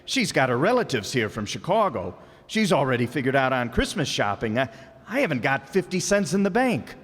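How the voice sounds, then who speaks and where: A man's voice: mid-range voice, very animated, brisk, fairly bright tone. A man voicing a harried, put-upon salesman husband who grumbles and wisecracks in a live radio play. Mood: grumbling. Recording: live stage with hall reverb.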